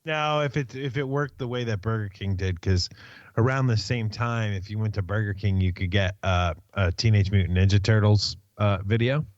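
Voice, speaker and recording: A man with a fairly low voice chats casually as a podcast co-host. He has a wry, grumbling humour. The sound is dry and slightly dull. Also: normal speed, no echo. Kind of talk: casual chat.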